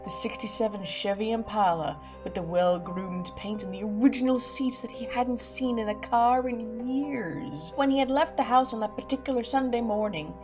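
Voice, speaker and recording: A woman playing an ominous, suspenseful narrator of a haunted-car horror story, reading in a deliberate, dramatic style. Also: on the high side, lightly acted. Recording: music behind the voice.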